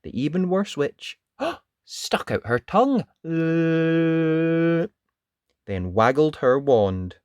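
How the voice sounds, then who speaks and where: A storyteller reading a children's picture book aloud in an expressive, dramatic way. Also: medium pitch, drawn-out words, clean tone, no echo, clean studio sound. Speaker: storybook reader. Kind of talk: animated children's read-aloud narration.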